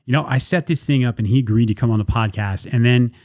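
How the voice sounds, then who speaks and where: A man hosting a podcast, talking casually and haltingly, often repeating words as he sets up questions over a video call. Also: medium pitch, darkish tone, dry recording, studio quality. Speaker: podcast host. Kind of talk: casual podcast intro and interview.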